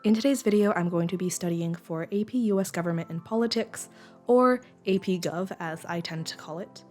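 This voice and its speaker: Slightly croaky young woman explaining study notes. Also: lowish voice, brisk, darkish tone, soft background music. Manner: explanatory, rambling with fillers. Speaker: study vlogger. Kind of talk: voice-over explaining study methods. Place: lives in the San Francisco Bay Area.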